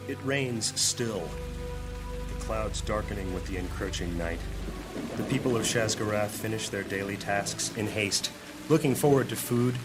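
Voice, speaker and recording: A man narrating a scripted fantasy audio drama in a measured, descriptive storytelling manner. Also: on the low side, music in the background.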